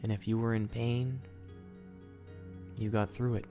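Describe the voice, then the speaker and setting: A man leading a guided sleep meditation as host, in a soothing, gentle, instructional manner. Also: lowish voice, flat delivery, a little slow, faint music behind.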